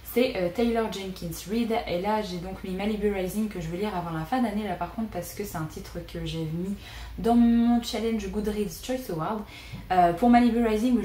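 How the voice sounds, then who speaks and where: Young woman, chatty booktuber, mellow tone, roomy echo, faint hiss. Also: mid-range voice. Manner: chatty, frequent "euh" fillers. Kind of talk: casual book wishlist vlog. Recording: echoey room with faint noise.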